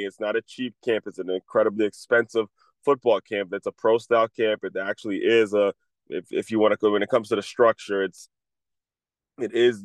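A man's voice: on the low side, no echo. A man hosting a podcast, talking casually and a bit congested, often restarting his sentences as he explains things to young athletes. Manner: rambling with frequent restarts. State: congested, under the weather. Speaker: podcast host. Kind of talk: casual solo talk, advice to athletes.

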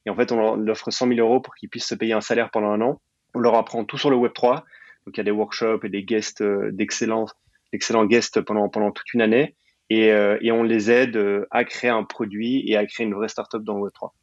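A young man gives a casual interview in a fairly low voice. He speaks informally and drops in English jargon. The recording is dry and slightly dull, with little room sound. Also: flat delivery, fairly quick, no echo. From Belgium and Sicily. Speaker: podcast guest, startup programme manager.

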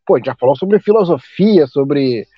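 A man from Brazil, a teacher hosting a podcast in casual chat, talkative and quick to laugh. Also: a little high, lively intonation, breathy edge, no room sound, highs cut off. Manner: chatty, laughing often, drawn-out asides.

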